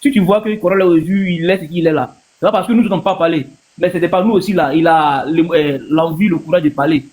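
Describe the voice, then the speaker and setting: Fast-talking Ivorian man, higher-pitched voice. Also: darkish tone. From Ivory Coast. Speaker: panel guest on a live stream. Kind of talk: casual opinion talk.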